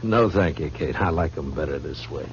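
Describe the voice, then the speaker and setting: Low-voiced laconic frontier marshal. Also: male voice, normal speed, loud music behind the voice. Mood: probing. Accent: American. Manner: terse, dry questioning. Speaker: actor in a radio western. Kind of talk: 1950s radio drama acting. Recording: dull, noisy old radio recording.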